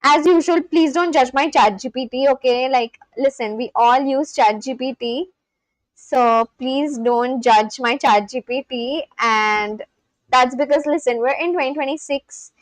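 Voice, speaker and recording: A woman's voice: high voice, measured pace, dry recording, rough recording. A young woman from India with an Indian English accent, chatting casually on a live stream, rambling with lots of fillers and restarts. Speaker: livestream host.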